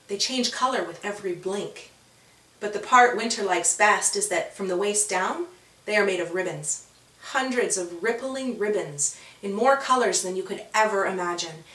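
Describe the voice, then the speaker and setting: A woman narrating a children's story expressively, with dramatic, drawn-out emphasis on key words. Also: mid-range voice, fairly bright tone, roomy sound, recorded from a distance, low-quality recording.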